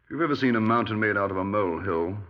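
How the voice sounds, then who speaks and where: An actor playing a genial, breezy middle-aged British retired major in a 1950s radio drama, clipped and dismissive. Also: male voice, on the low side, rapid-fire, lightly acted. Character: genial, dapper retired army major, breezy small-town murder suspect. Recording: muffled 1950s radio recording.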